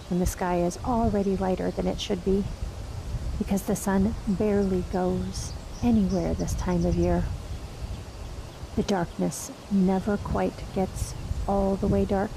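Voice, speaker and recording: A woman guiding a meditation, speaking softly and unhurriedly with long pauses. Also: mid-range voice, steady tone, gravelly, background noise. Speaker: meditation guide. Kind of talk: guided meditation.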